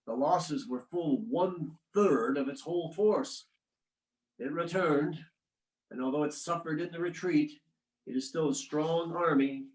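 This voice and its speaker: A man reading as a 19th-century statesman writing solemn letters to his wife, in a formal, measured and occasionally halting delivery. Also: mid-range voice, natural voice. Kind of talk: dramatic reading of historical letters. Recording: echoey, roomy sound.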